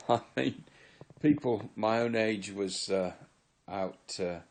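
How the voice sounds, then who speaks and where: Man, 60s, from Virginia, fake English accent, dark mellow tone, rambling earnest monologue. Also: lowish voice, drawn-out words. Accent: put-on English. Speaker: former member giving testimony. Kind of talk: solo confessional monologue to camera.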